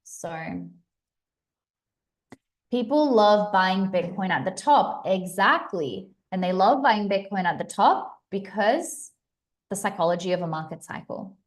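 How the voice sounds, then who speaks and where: Brief: young woman host, Aussie-American accent, chatty, upbeat live-stream patter, mid-pitched. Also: lively intonation, measured pace. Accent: Australian mixed with American. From Australia. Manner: chatty and upbeat, many fillers and restarts. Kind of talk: casual live stream chat to viewers.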